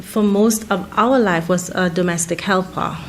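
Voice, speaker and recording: A middle-aged woman with a Trinidadian accent, a college president telling her life story in an interview in a measured, soft-spoken way. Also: fairly low pitch, a little hiss or hum. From Trinidad and Tobago.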